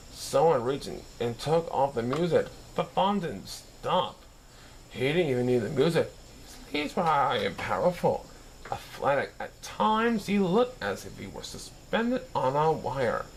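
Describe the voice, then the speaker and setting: A man's voice: medium pitch, slight background noise, rough recording. A man reading a story aloud expressively, occasionally stumbling over a word and correcting himself. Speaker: storybook reader. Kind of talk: scripted story narration read aloud.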